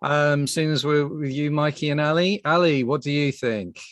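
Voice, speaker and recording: A man hosting a casual panel chat, speaking haltingly and often repeating his words. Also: on the high side, steady tone, a little hiss or hum. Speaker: podcast host.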